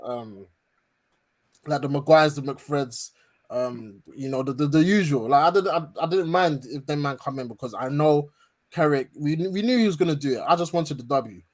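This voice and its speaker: A man hosting a casual football fan stream, talking in relaxed slangy banter with many fillers. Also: fairly high pitch, moderate pace. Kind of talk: casual live-stream chat.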